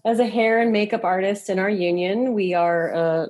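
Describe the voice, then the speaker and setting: A woman's voice: medium pitch, normal speed, a little mellow. A woman in her thirties chatting casually as a podcast guest, candid and giggly, peppering her talk with "like". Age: 30s. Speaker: podcast guest, musician and hairstylist. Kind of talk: casual chat.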